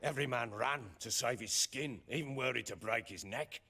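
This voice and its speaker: An actor playing a blustering, hearty baron in a dramatic video game, with a gravelly voice and emphatic rustic speech. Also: male voice, mid-range voice, moderate pace, sharp tone. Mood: grim, brooding. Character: blustering, hearty male baron, lord of the hall.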